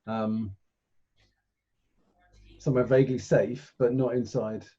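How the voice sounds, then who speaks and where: A man's voice: medium pitch, steady tone, slow pace, dark tone, slightly rough. A man talking casually as a tabletop game player, on a slightly lo-fi recording.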